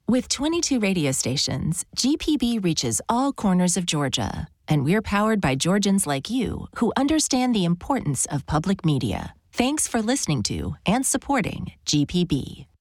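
A woman reading a station announcement in a clear, read-out way. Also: medium pitch, very animated, moderate pace, hardly any pauses, dry recording, clean studio sound.

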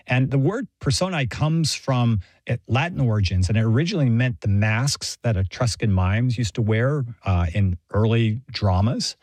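A middle-aged American man talks like a professor being interviewed, thoughtful and explanatory. His voice sits at an ordinary male pitch, recorded very dry with no room around it. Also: lively intonation, normal speed, few pauses, darkish tone, no echo. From Texas, United States. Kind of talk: conversational explanation and personal anecdote.

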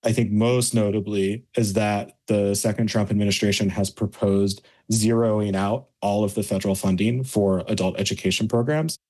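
A man working as a policy analyst, speaking in a measured, precise way in an interview clip. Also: fairly low pitch, steady tone, a little mellow.

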